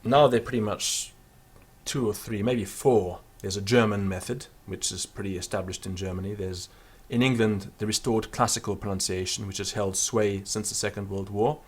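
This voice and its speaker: A man with a fairly low voice teaching pronunciation in an offhand, unscripted way, full of small fillers and restarts. He stops every so often to sound out words slowly and deliberately, as a demonstration for his students. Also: steady tone, husky. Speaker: Latin teacher. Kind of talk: spoken pronunciation lesson.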